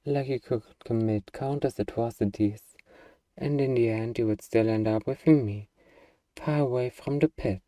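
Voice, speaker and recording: A man's voice: mid-range voice, moderate pace, dark tone, no echo, distant mic, close to an everyday voice. A man playing an eager, bargaining demon who craves a cuddly pet, in a playfully earnest, wheedling ASMR roleplay monologue. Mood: haggling.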